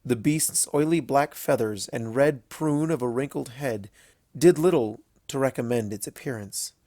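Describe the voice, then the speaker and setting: Slow-paced man narrating a story. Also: mid-range voice, warm tone, dry recording, clean studio sound. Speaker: fan fiction narrator.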